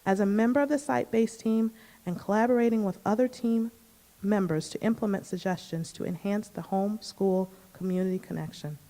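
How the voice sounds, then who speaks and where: Mellow woman in a reverberant hall. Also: mid-range voice, brisk. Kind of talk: reading a formal proclamation. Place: public school board meeting room.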